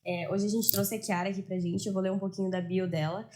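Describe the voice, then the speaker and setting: A woman in her thirties hosting a podcast, talking in a chatty, lively and emphatic way. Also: medium pitch, fast. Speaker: podcast host. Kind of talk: casual podcast chat.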